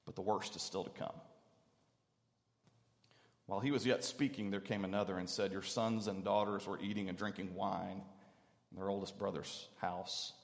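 A man from Tennessee preaching a storytelling sermon, speaking earnestly and dramatically with deliberate pauses. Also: sits low, fairly even intonation, vocal fry. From Tennessee, United States. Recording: echoey hall with long reverb.

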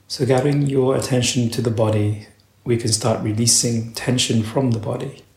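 A man guides a meditation in a mid-range voice with a noticeable gravelly fry. He speaks in slow, measured phrases and leaves long pauses between them. The sound is roomy, with clear echo around the voice. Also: mid-range voice, fairly even intonation, darkish tone. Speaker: meditation teacher.

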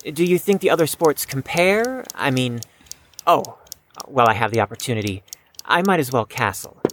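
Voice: medium pitch, lively intonation, normal speed, fairly bright tone, natural voice. An actor playing a reserved, defensive young chess prodigy in an audio drama, with a guarded, precise, slightly stiff delivery. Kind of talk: scripted audio drama acting.

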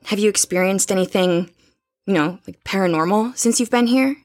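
A woman's voice: mid-range voice, normal speed, dry recording, faint music behind, lightly acted. A woman playing a curious, cautious young woman, the sensible friend, in an audio horror drama. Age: young adult.

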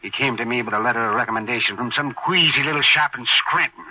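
A man playing a fussy, excitable, gossipy shop owner with a fluttery, theatrical manner in a 1940s radio crime drama. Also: medium pitch, quick pace, rough recording. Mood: nervous, fluttery. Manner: fluttery, theatrical, whining, giggly.

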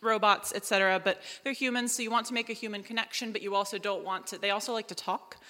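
A woman answering questions on a live panel as a drama writer, talking in an animated, emphatic, conversational way. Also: medium pitch, fast talker. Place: live event room at a festival. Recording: echoey hall, long reverb.

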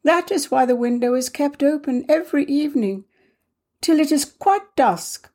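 A woman's voice: sits high, expressive, moderate pace, a little mellow, pitched above the performer's own voice. A woman voicing a self-possessed teenage girl who tells a tragic tale in a confiding, faltering, dramatic way for a storybook reading. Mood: wistful, faltering. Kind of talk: storybook character voice in audiobook reading.

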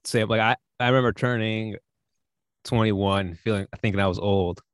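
A man's voice: on the low side, steady tone, normal speed, no echo, studio quality. A man in his late twenties co-hosting a podcast, chatting in an easygoing, joking way and laughing often. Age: 20s. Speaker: podcast co-host. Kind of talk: casual chat.

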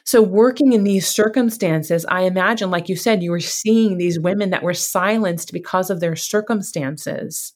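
A woman's voice: lowish voice, moderate pace, hardly any pauses. A woman hosting an interview, encouraging and chatty, wandering through her thoughts and laughing easily. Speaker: podcast host. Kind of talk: conversational interview.

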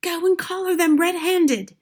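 A woman's voice: very high-pitched, measured pace, voice pushed up. A woman voicing a frightened, bossy mother in a storybook, hissing and shrieking. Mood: hissing, urgent. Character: panicky, shrill, bossy mother.

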